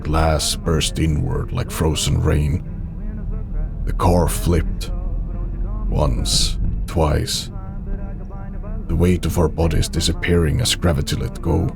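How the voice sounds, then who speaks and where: A man narrating an audio drama in the first person, with a somber, measured, dramatic delivery in short phrases and a gravelly voice. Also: very deep voice. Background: background music.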